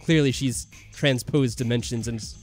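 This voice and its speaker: A man's voice: medium pitch, moderate pace, darkish tone. A man giving casual, jokey gameplay commentary and reading dialogue aloud in a musing way. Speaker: let's play host. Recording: music under the voice. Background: game music.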